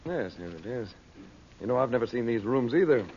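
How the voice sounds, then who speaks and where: An actor with an American accent playing a composed, adventurous uncle and magician-hero in an old radio serial. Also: male voice, mid-range voice, expressive, brisk, lightly acted. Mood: composed, friendly. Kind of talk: 1930s-40s American radio serial acting. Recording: muffled old radio recording with hiss.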